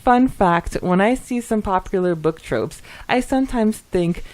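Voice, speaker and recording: A woman's voice: mid-range voice, lively intonation, unhurried, darkish tone. A woman co-hosting a podcast, chatting casually and reading out comments in an informal, giggly way. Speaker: podcast co-host.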